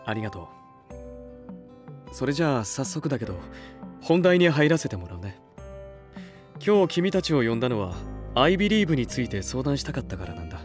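A male actor playing an earnest, soft-spoken young record label head in an anime voice drama, speaking in a measured way. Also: medium pitch, sing-song, faint music behind, close to an everyday voice.

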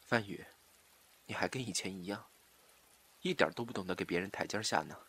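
An actor playing an obsessive, scheming jilted lover in a Chinese audio drama, swinging from soft pleading to taunting menace. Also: male voice, deep voice, a little fast, slightly rough, full character voice. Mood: plaintive coaxing.

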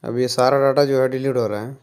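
A man calmly walking viewers through a phone reset step by step, often filling pauses with "jo hai". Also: medium pitch, clean tone. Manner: unhurried, explanatory, fills pauses with "jo hai". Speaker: tech tutorial presenter.

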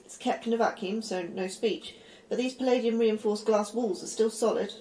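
A woman's voice: mid-range voice, normal speed, warm tone, echoey room, slight background noise, lightly acted, rough recording. A woman playing an anxious, curious astrobiologist in a sci-fi horror audio drama, hesitant and inquisitive. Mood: fascinated. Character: anxious, curious female astrobiologist at secret facility.

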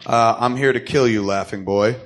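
A man's voice: mid-range voice, moderate pace, natural voice. A man playing a wry, matter-of-fact hired killer in a comic-book radio drama reading, speaking casually. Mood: cool, threatening. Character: gruff, businesslike male hired killer, grimly wry.

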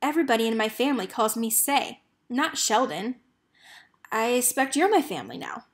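A modest young wounded soldier from a farm, voiced by a woman. This storybook boy speaks plainly and hesitantly, in a higher register. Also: expressive, moderate pace, lightly acted. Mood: shy.

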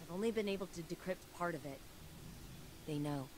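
An earnest, reflective engineer voiced by a woman, speaking in a lower register for a woman, thoughtful and sincere, in a game cutscene. Noticeable noise runs under her voice. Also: fairly quick, natural voice. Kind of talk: video game cutscene voice acting. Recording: noisy game capture.

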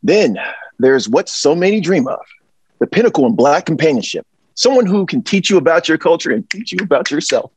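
A man in his thirties playing a slick, upbeat salesman delivering a theatrical pitch in a script read. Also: sing-song, brisk, slightly rough, no echo. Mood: upbeat, persuasive. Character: slick upbeat pitchman selling a satirical friendship service. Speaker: actor in a table read. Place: small indoor storeroom, video call.

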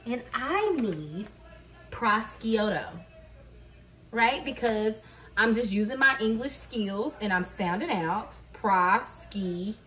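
A woman's voice: medium pitch, lively intonation, takes it slowly, a bit bright. A woman in her thirties chatting to her viewers while she cooks dinner at home, telling a story and laughing often. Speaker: mom vlogger. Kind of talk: cook-with-me vlog chat. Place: home kitchen, indoors. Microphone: camera across the kitchen. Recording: echoey kitchen, camera mic at a distance.

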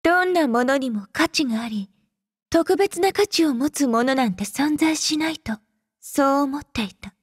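Casting: haughty game femme fatale, young warrior, high-pitched, unhurried, teasing and possessive. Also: female voice, no room sound, clean studio sound. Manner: languid, teasing, coolly condescending. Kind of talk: Japanese game character voice lines.

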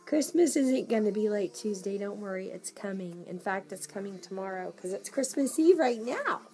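A woman with a hoarse, coughing voice, chatting casually to camera in a nursery at home and cooing softly over a baby doll. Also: mid-range voice, animated, normal speed. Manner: gushing, coos softly to the doll. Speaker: reborn doll collector vlogger. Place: home nursery room, indoors. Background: Christmas music playing.

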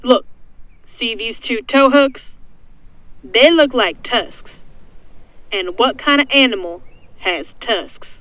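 A bullying army sergeant acted by a woman in a cartoon parody, barking sarcastic orders. The voice sits fairly high and comes through thin and phone-like, very dry. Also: animated, takes it slowly, pauses often, no room sound. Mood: irritated. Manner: barking, drawling mock orders. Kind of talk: fan parody dub of a comedy machinima.

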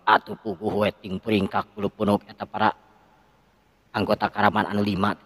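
A man narrating a folk tale with a Sundanese accent, lively and dramatic. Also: lowish voice, fairly quick, big-room sound. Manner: lively, with shouted sound effects. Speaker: storyteller.

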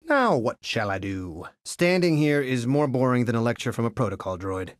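An actor playing a cocky, friendly young resistance pilot in a video game, breezy and wisecracking. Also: male voice, medium pitch, big pitch swings, moderate pace, dry recording, clean studio sound, close to an everyday voice. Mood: bored, restless. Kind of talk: video game character voice clips.

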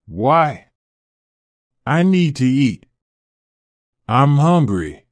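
A woman voicing an old, weak male lion king in a storybook, gruff and demanding. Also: a bass voice, sing-song, drawn-out words, no room sound, voice pushed down, full character voice. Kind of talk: storybook reading.

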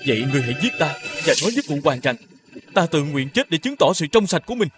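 A man playing a noble, honourable young prince in an animated fairy tale, speaking earnestly and formally. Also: fairly high pitch, fast talker, music in the background. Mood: resolute, sorrowful.

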